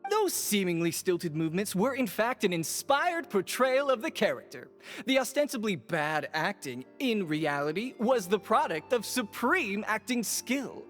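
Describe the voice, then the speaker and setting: An actor playing a pretentious intellectual critic in a video game, earnest, long-winded and academic. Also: male voice, high-pitched, sing-song, a little slow, fairly bright tone, soft background music, exaggerated voice. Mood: authoritative. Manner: pompous, academic, wordy.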